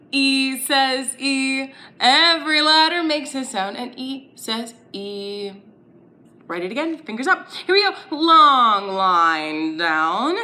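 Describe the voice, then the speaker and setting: A woman's voice: high voice, bright, forceful tone. A woman leading a children's story time lesson, playful and exaggerated, encouraging little listeners with questions and sing-along phrases.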